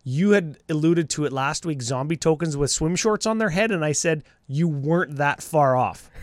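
A man's voice: a little high, normal speed, no echo, studio quality. Upbeat male podcast co-host, scratchy voice. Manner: laughs often, upbeat, trails off. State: scratchy voice from a cold. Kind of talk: casual chat.

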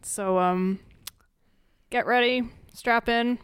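A playful woman co-hosts a true-crime podcast, chatting and joking as she leads the case narration. Her voice is smooth and resonant. It is recorded close and dry. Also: a little high, steady tone, slow, fairly bright tone, no echo. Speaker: podcast co-host. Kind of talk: casual true-crime chat.

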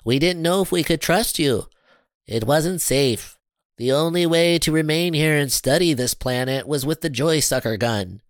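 A man's voice: fairly high pitch, lively intonation, normal speed, dry recording, studio quality, voice pushed up, lightly acted. A man voicing a small, earnest, fretful alien scientist with formal, stilted phrasing in a children's storybook. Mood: earnest explaining.

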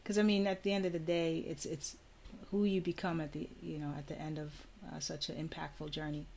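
A woman co-hosting an interview, asking questions in an expressive, friendly way. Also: low voice, brisk, slight background noise. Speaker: interview co-host.

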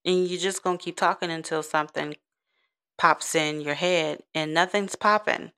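A woman's voice: low-pitched, fairly even intonation, normal speed, bright, forceful tone, clear, resonant tone. A woman, hosting a rambling, giggly solo podcast in a soothing voice. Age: 30s. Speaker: podcast host. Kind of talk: casual solo podcast chat.

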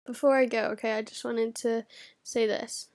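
A teenage girl chatting straight to camera in a rambling, scattered way. Her voice sits a little high, and she swears freely and often drops into a whisper mid-thought. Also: little pitch movement, normal speed, a little mellow. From United Kingdom. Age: teens. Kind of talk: casual vlog chat.

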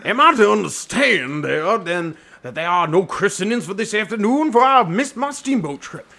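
Man putting on a pompous country clergyman, high-pitched. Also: very animated, normal speed, vocal fry, pushed and bright, full character voice. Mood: aggrieved, pompous. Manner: ornate, grandiose, put-on accent. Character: fussy pious old country clergyman, ornately formal.

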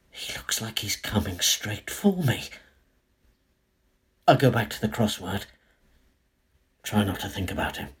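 Man playing a husky, uneasy storyteller. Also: medium pitch, heavily acted. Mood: tense, brooding. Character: anxious male former game show host, narrating his own ordeal. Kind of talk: first-person literary story narration.

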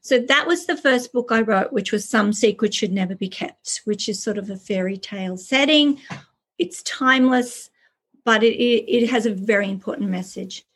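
A woman's voice: mid-range voice, normal speed, slightly rough. A woman author and early childhood educator, answering podcast interview questions with earnest, passionate storytelling.

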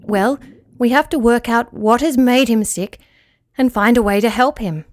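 A woman voicing a kind, gentle male four-legged animal who speaks earnestly. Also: fairly high pitch, moderate pace, natural voice. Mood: reassuring.

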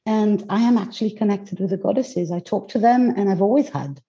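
A woman's voice: medium pitch, fairly quick, lo-fi sound. A woman from Greece, whose first language is not English, chatting as an interview guest about her work, often restarting and laughing as she finds her words. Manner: warm, searching for words, frequent restarts and laughs. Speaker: guest, goddess teacher and coach. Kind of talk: casual interview chat.

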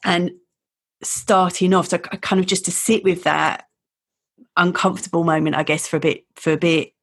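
A woman host speaks warmly and supportively to her guest, with a breathy, husky texture. Her voice sits on the low side. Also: fairly even intonation, moderate pace. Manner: affirming, encouraging, backchannels often. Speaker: podcast host. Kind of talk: supportive interview conversation.

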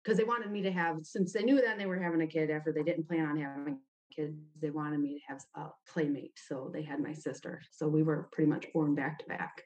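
A woman with a notably low-pitched voice. She speaks as a guest in a personal interview, telling stories informally and dropping in "like" often. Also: fairly even intonation, brisk, few pauses, darkish tone, low-quality recording. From United States, grew up in Ohio. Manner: informal, frequent "like" as a verbal habit. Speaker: podcast guest. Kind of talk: conversational personal storytelling in an interview.

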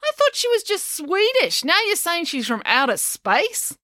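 A woman's voice: medium pitch, sing-song, brisk, no room sound, studio quality, voice pushed up. A woman voicing a hot-tempered, argumentative young girl in a storybook, blunt and combative. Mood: outraged.

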